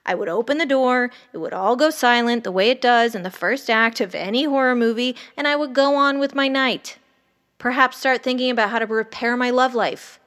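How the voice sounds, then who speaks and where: A woman playing a detached, morbidly curious ER doctor, narrating a horror audio drama in a measured, wry, clinical way. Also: fairly high pitch, a bit bright, close to an everyday voice. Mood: wry, uneasy.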